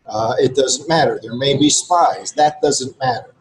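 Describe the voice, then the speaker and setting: A man's voice: mid-range voice, measured pace, rough recording. A man whose first language is Greek addresses listeners in a blunt, earnest, lecturing way. His voice sits in the middle of the male range. A faint hiss of noise runs under the recording. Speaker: regular co-host. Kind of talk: casual conversation to listeners.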